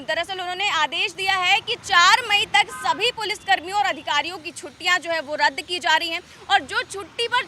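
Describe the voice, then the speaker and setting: A woman giving a news report as a reporter, explaining in a halting way with frequent hesitations. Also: very high-pitched, sharp tone, slight background noise.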